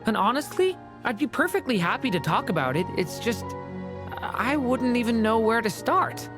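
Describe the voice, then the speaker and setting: A woman's voice: on the high side, big pitch swings, normal speed. A woman voicing a young, earnest, grateful non-human female being with an open, wondering manner in a video game. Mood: friendly. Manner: warm-hearted, wondering, open. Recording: game audio with clear music behind.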